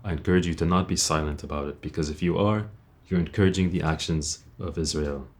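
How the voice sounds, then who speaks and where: A man's voice: extremely low pitch, fairly even intonation, quick pace. A young man from Lebanon speaking as a video creator in an earnest personal monologue, blunt and informal, often putting rhetorical questions.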